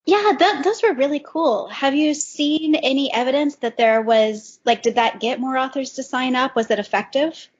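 A woman's voice: high-pitched, moderate pace, hardly any pauses, husky, lo-fi sound. A woman chatting casually on a podcast, putting questions to the others. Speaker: podcast participant asking questions.

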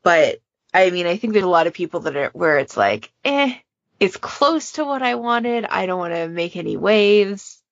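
A woman's voice: on the low side, lively intonation, normal speed, no room sound, dull audio. A woman chatting casually and informally as a moderator on a podcast panel.

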